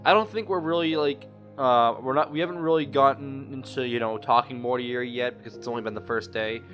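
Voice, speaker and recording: A man's voice: medium pitch, brisk, a bit bright. Rambling young-sounding male gamer over music. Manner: rambling, often loses his thread and rephrases. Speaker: gaming video host. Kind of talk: casual let's play commentary.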